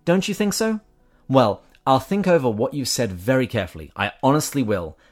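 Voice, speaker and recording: A man voicing a fussy, evasive male ghost who pleads and makes excuses in a higher, strained voice. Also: very animated, brisk, faint music behind. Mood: pleading. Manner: whiny, pleading, self-excusing.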